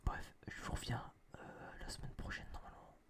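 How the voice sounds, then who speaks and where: A young man whispering a casual, slangy, rambling review in breathy ASMR style, close to a binaural microphone. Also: moderate pace, fairly bright tone. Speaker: ASMR video creator.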